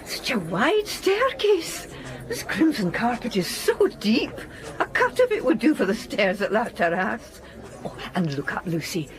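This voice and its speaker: A woman's voice: a little high, wildly swooping pitch, a little slow. An actress playing a fond, proud middle-aged English mother in a period audio drama, genteel and theatrical. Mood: delighted. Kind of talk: period radio drama acting.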